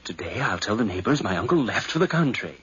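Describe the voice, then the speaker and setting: An actor playing an agitated, gloating murderous nephew who talks to himself in a theatrical 1950s radio drama. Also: male voice, on the low side, big pitch swings, quick pace, fairly bright tone. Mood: smug, unhinged. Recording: muffled, noisy 1950s radio recording.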